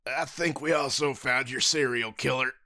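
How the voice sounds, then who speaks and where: A man's voice: medium pitch, normal speed, pushed and bright, dry recording, clean studio sound. A man playing a polite, easygoing young male armored hero with a drawling, good-humored manner. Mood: wry. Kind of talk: audio drama acting.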